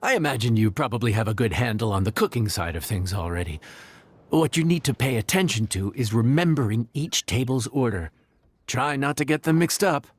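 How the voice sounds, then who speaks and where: An actor playing an affable, patient inn cook who explains things in an encouraging, folksy way in a video game. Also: male voice, medium pitch, lively intonation, fairly quick, lightly acted. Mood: reassuring. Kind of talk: video game cutscene acting.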